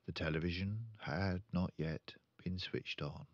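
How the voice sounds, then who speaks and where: An older man reading a children's book aloud as a storyteller, with deliberate, expressive phrasing. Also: low-pitched, no echo, muffled recording. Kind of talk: children's audiobook narration.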